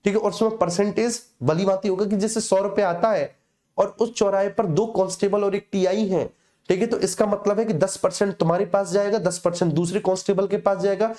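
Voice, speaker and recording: Guy w UP teaching exam class, soft dark tone, chatty, keeps checking you follow. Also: high-pitched, fairly even intonation, a little fast. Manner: conversational, explains with hypothetical examples, repeated check-in tags. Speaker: teacher for police exam coaching. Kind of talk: informal exam lesson.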